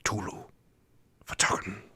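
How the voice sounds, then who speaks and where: A man reading classic horror fiction as an ASMR bedtime audiobook, hushed and deliberate, with a gravelly, breathy voice that slips toward a whisper, close to the microphone. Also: a little hiss or hum. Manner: hushed, slow, deliberate phrasing. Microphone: close, dry microphone.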